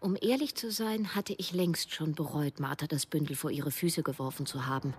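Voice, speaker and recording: A woman's voice: deep voice, moderate pace, slightly rough. An actress playing a struggling writer in her thirties, narrating and speaking in a radio drama with a dry, wry manner. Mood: wry, reflective. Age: 30s. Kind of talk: first-person radio drama narration and dialogue.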